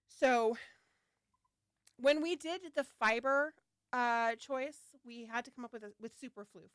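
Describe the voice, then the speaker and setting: A woman hosting a livestream talks in a fairly high, smooth voice, slightly nasal from a lingering head cold. She rambles along in a chatty, scattered way, laughing and swearing casually. The sound is close and dry. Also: fairly bright tone, no room sound. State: getting over a head cold. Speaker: yarn shop owner hosting a launch livestream.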